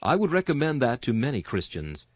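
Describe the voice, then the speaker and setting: A man's voice: mid-range voice, wildly swooping pitch, brisk, no room sound. A man giving an earnest, rhetorical reading of a Victorian sermon, exhorting his listeners. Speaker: sermon reader and host.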